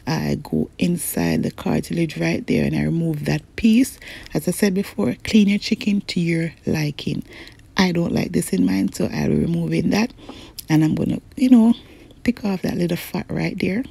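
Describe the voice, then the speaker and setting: A young woman, a cooking channel host chatting viewers through a recipe in a relaxed, friendly voice-over. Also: lowish voice, moderate pace, gravelly, slightly rough. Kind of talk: cook-along voice-over narration. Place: home kitchen.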